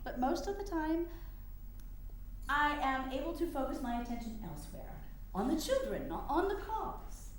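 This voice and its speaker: A woman's voice: high-pitched, big pitch swings, unhurried. A woman acting a resolute, confiding mother and suffrage campaigner in a staged period drama, speaking earnestly in formal phrasing. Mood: resigned, sorrowful. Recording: very echoey room with faint noise.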